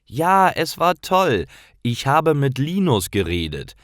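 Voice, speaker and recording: An excited, inquisitive little girl of nine as a male narrator puts her on, pitched well up. The lines are slow and lively, in storybook style for language learners. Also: no room sound, clean studio sound. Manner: eager, excited. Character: curious, enthusiastic nine-year-old little girl.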